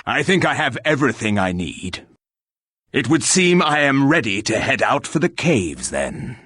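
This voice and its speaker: A rude warrior hero for a fantasy game. His husky voice is formal and deadpan, yet moves widely in pitch. The sound is dry and studio-close, with a dismissive, sardonic edge. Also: a little slow, no echo, heavily acted. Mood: curt. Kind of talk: video game voice acting.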